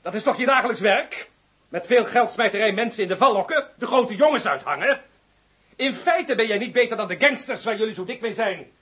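A public prosecutor in a radio drama, bent on bringing people down. His pitch is high and swings widely, with a slightly rough edge. He probes and accuses without letting go. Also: expressive, a little fast, a bit bright, exaggerated voice. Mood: scornful, threatening. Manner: probing, insistent, accusatory. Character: sharp, zealous public prosecutor out to topple the federal police. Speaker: actor in a radio play. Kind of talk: Dutch radio drama dialogue.

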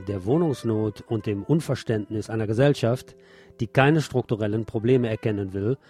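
Guy reading scripted radio news and commentary, mid-pitched voice, bit of music underneath. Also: warm tone. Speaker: radio presenter.

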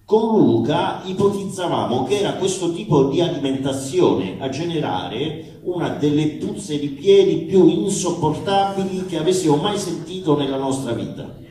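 A man reading a comic letter aloud in a measured, deadpan way with pauses. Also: a little high. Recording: very echoey hall, distant mic.

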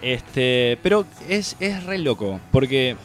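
A man's voice: medium pitch, sing-song, slow, soft background music. A man with a Buenos Aires accent giving a colloquial, rambling opinion column on film for a radio show. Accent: Argentine, Buenos Aires. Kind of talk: casual opinion commentary. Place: radio studio.

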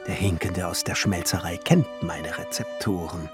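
An actor playing a solemn, visionary glassmaker and alchemist in a radio play, musing in literary German and often dropping into hushed tones. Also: male voice, sits low, moderate pace, lightly acted. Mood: brooding. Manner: musing, declamatory, drops into hushed whispers. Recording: studio radio play with music underneath.

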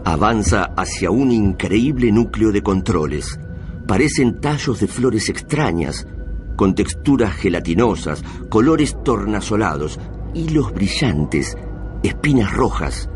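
A man narrating a radio drama in a solemn, measured, literary manner. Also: fairly low pitch, big pitch swings. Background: music.

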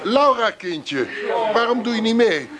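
An actor playing a gushing, patronising therapy group leader in a radio play, coaxing with repeated encouragement. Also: male voice, very high voice, lively intonation, unhurried, a crowd in the background. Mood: exhorting, gushing. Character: gushing, patronising male therapy group guru, pushy and soothing.

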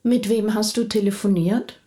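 A woman's voice: medium pitch, fairly even intonation, normal speed, background noise, lightly acted. An actress playing a self-assured, teasing woman in her prime in an intimate radio drama scene. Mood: curious, wry. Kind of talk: German radio drama dialogue.

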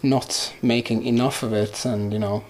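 A man's voice: medium pitch, steady tone, normal speed, a little mellow, low-quality recording. A man whose first language is Swedish, a musician giving casual, reflective interview answers as he searches for his words. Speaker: musician guest.

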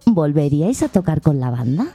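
A woman's voice: low voice, big pitch swings, normal speed, warm tone, soft background music. A woman presenter reading scripted radio narration in a dry, matter-of-fact way.

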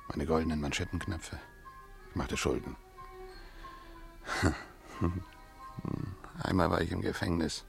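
An actor playing a ruined, brooding Russian gambler in a German radio drama, resigned and bitterly wry, musing in a halting, theatrical way. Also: male voice, deep voice, fairly even intonation, gravelly, faint music behind, full character voice. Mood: bitter reminiscing.

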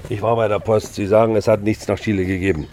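An actor playing a gruff, embittered, greying ex-army father in a radio drama, sarcastic and grumbling. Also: fairly low pitch, little pitch movement, quick pace, a little mellow, slight background noise, close to an everyday voice. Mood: accusing. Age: middle-aged. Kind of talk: German radio drama acting.

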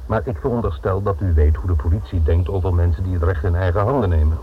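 Male actor playing police inspector, radio play, deep voice, stern, clipped, sardonic, formal, thin band-limited old recording. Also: fast talker, narrow, tinny audio, slight background noise, natural voice. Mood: sardonic, lecturing. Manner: formal, clipped, dryly sceptical, lecturing.

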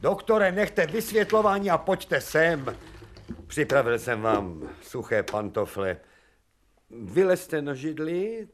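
An actor in a radio play playing an irascible, educated professor, imperious and exasperated in a formal, theatrical way. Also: male voice, fairly high pitch, big pitch swings. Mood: weary, exasperated. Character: irascible, educated male professor at wit's end. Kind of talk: theatrical radio drama acting.

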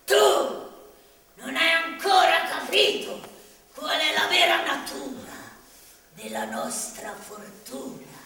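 A woman's voice: high voice, wildly swooping pitch, crowd noise, full character voice. A woman playing a domineering, greedy male master in a commedia dell'arte, pompous and scolding. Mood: grandiose, imperious. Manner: pompous, declamatory, scolding, drawn-out delivery. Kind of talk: commedia dell'arte stage acting. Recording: very echoey room, distant microphone.

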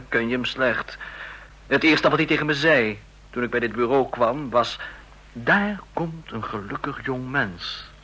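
Jealous young agent, bright husky voice. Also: male voice, medium pitch, animated, measured pace, exaggerated voice, low-quality recording. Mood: suspicious, jealous. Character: jealous, ambitious young male counter-espionage agent in love. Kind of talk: Dutch radio drama acting.

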